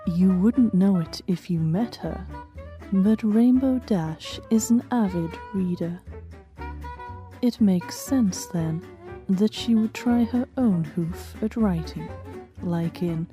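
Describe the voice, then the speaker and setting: A woman's voice: fairly low pitch, slow pace, dark tone, soft background music. A woman hosting, reading a scripted introduction to a story. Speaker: host.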